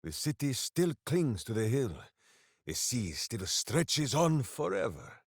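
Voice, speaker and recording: Casting: boisterous, earnest berserker hero, fantasy game; unhurried delivery, wildly swinging pitch. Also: male voice, sing-song, vocal fry, no echo, clean studio sound, heavily acted. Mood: solemn, wry. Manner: bombastic, earnest, talks of himself in third person. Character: big, simple, good-hearted berserker hero, boisterous and heroic. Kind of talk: fantasy video game voice acting.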